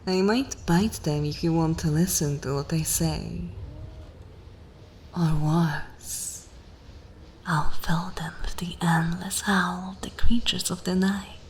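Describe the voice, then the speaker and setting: A woman voicing a dominant, sensual vampire mistress in an ASMR fantasy roleplay, commanding and savouring, often slipping into soft breathy whispers. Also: on the low side, slow, a little hiss or hum. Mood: teasing menace. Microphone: close microphone.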